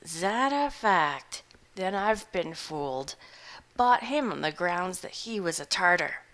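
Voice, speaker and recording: A woman reading aloud voices a tall, gaunt, grizzled old farmer man who talks in a slow, mournful country drawl with rustic grammar. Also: on the low side, expressive, fairly bright tone.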